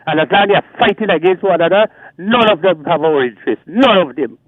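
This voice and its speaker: A man's voice: sits high, animated, fairly quick, no room sound. A Guyanese man calling in to a radio show, talking emphatically and pressing on over the host. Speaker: caller. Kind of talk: call-in rant. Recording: tinny phone line.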